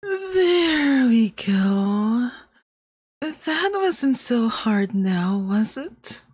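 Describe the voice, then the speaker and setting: A woman voicing a possessive, manipulative young femboy yandere boyfriend in ASMR roleplay, soft, breathy and cloying, coaxing with veiled menace. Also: a little high, big pitch swings, very slow, no room sound, studio quality, exaggerated voice. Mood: doting, coaxing.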